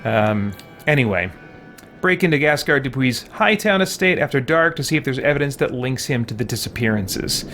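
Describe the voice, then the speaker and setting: A man's voice: medium pitch, expressive, moderate pace, a bit bright. A man chatting casually as a game streamer, thinking aloud in an informal, rambling way. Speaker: streamer playing and commenting on a video game. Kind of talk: casual live game commentary chat. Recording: game music behind the voice. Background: video game music.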